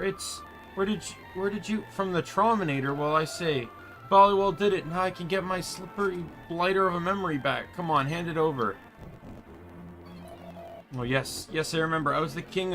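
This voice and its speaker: Man putting on a blustering, high-ish king. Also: normal speed, a bit bright, soft background music, poor audio. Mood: indignant, blustering. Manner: pompous, blustering. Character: blustering, forgetful British gentleman king in a video game. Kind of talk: game dialogue read aloud.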